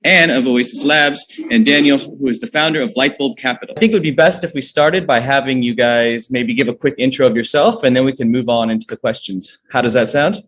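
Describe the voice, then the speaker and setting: A man's voice: medium pitch, fairly quick, few pauses, a bit bright. A man moderating an online panel discussion, who reads a scripted opening and then prompts the guests.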